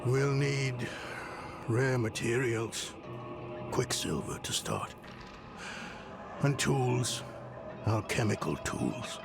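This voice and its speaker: An actor playing a burdened, grumbling old mystic in a dark fantasy game, with a gravelly voice and brooding, halting manner. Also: male voice, medium pitch, expressive, full character voice. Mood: urgent. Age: older. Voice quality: gravelly, breathy at times. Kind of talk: dramatic video game dialogue. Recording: dramatic game music underneath.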